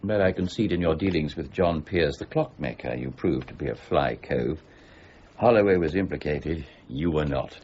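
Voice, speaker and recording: An actor in a period radio drama playing a stern, relentless mint warden with a formal, curt, dryly sardonic way of talking. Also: male voice, very deep voice, animated, moderate pace, a little mellow, lo-fi sound, a little hiss or hum. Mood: cold, stern.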